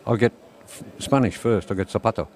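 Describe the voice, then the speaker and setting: A man with a lowish voice talks casually out on a high street. His delivery is jokey, and he spins puns to help people remember words. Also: brisk, a little mellow. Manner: jokey, tells punning memory tricks. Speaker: guest. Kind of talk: casual chat.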